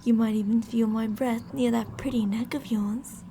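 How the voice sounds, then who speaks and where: A woman's voice: on the high side, steady tone, moderate pace, mellow, a little hiss or hum, lightly acted. A woman playing teasing, possessive female mad scientists in an ASMR roleplay, coaxing and domineering with sweet menace. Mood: controlling, coaxing.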